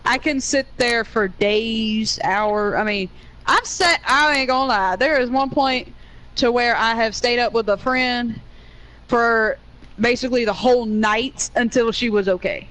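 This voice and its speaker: A woman's voice: fairly high pitch, a little slow, fairly bright tone, low-quality recording. A young woman with a Southern US accent, chatting earnestly while playing a game with a friend, rambling with many restarts. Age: young adult. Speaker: co-player on a gaming stream.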